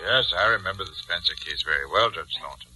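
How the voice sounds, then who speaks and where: A man playing a shrewd, suspicious doctor in a 1940s radio drama, measured, formal and pointed, on an old broadcast recording. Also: low-pitched, over loud music, natural voice. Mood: probing, explanatory. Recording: faintly noisy vintage radio recording.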